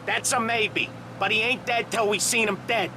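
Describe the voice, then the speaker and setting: A male actor playing an excitable, hot-headed 1930s mobster in a video game, talking tough over engine and gunfire noise. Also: high-pitched, normal speed. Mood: cocky, emphatic. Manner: excitable, emphatic tough-guy talk. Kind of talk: video game cutscene acting.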